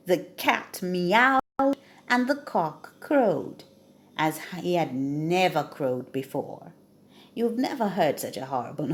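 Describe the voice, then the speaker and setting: A woman reading a children's story aloud with expressive, dramatic emphasis. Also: on the low side.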